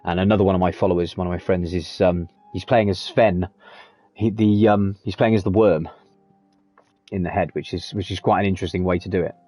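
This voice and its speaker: Man, casual streamer chat, rambling, swearing, mellow husky voice. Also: low-pitched, steady tone, a little fast, faint music behind. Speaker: game streamer. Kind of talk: casual live commentary.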